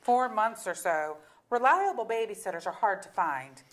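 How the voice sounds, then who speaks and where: A woman's voice: medium pitch, expressive, normal speed, lightly acted. A woman playing an exasperated middle-aged mother, candid and sardonic, in a staged comedy reading. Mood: dry. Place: auditorium stage.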